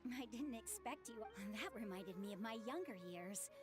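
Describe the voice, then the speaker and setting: A woman playing a calm, reassuring, worldly mature adventurer in a video game. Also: on the high side, moderate pace, over music, natural voice.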